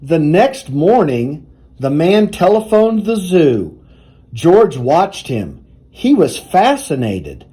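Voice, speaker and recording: A man reading a children's storybook aloud in a deliberate, expressive storytelling manner. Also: a little high, husky. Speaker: university athletics director reading aloud.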